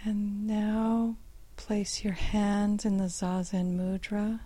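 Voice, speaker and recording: A woman guiding a meditation with gentle, soothing, unhurried instructions and long pauses, in a soft and at times breathy voice. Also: mid-range voice, flat delivery, no room sound. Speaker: meditation guide. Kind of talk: guided meditation.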